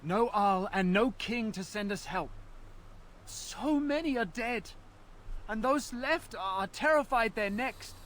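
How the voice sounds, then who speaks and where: A man's voice: high-pitched, animated, takes it slowly, fairly bright tone, slight background noise, pitched above the performer's own voice, heavily acted. A man voicing a nervous, frightened male villager who stammers as he speaks. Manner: stammering, fearful.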